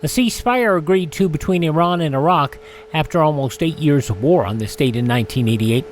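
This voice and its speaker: A man's voice: fairly high pitch, animated, a little fast, a little hiss or hum. A man hosting a radio show, reading scripted items about dates in history. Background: music.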